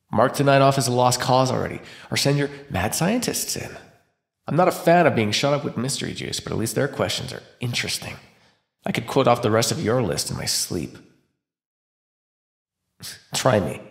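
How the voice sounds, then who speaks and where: Man acting a snarky, creaky-voiced captive vampire. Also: medium pitch. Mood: mocking, bored. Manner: sarcastic, taunting, flippant. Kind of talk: ASMR roleplay monologue. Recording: roomy echo.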